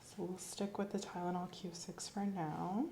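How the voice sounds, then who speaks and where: A woman's voice: fairly low pitch, flat delivery, moderate pace, dark tone. A woman in an ASMR medical roleplay, speaking conversationally as a nurse with a reassuring, professional bedside manner. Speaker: ASMR host playing a nurse doing an examination. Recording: roomy echo, faint background noise.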